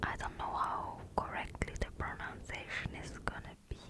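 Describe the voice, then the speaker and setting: A woman with a German accent, a native German speaker, hosting ASMR in a soft breathy whisper with a hesitant, informal manner. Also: normal speed. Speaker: ASMR video host.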